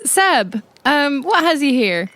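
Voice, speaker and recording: Female player as sardonic, guarded ex in a tabletop game; blunt and wry, slightly high voice, no room sound. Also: very animated, takes it slowly, a bit bright, dry recording, full character voice. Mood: awkward, wry. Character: guarded, sardonic woman meeting her former lover. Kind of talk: improvised tabletop roleplay acting.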